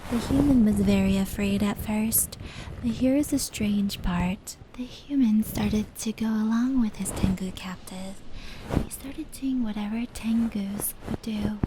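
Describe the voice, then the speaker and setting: A woman playing a gentle, knowing fox spirit in an ASMR roleplay, telling stories in a soft, breathy, soothing voice. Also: mid-range voice, a little slow, lightly acted. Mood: calm storytelling. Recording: steady rain and brushing noise layered in. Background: indoor rain patter and brushing sounds.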